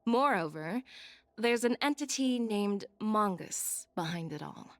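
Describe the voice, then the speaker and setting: An actress playing a frank, assured woman general in a video game, shrewd and wryly amused, speaking in measured formal lines. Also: medium pitch, expressive, no room sound. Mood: serious. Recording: game dialogue over background music.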